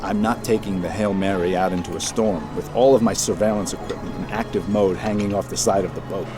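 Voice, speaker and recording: An actor playing a blunt, stubborn, profane sea captain and treasure hunter in an audio drama. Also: male voice, low voice, steady tone, fairly quick, music in the background, lightly acted. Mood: stubborn, irritated. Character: gruff, stubborn, hot-tempered male boat captain and treasure hunter. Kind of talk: full-cast audio drama acting.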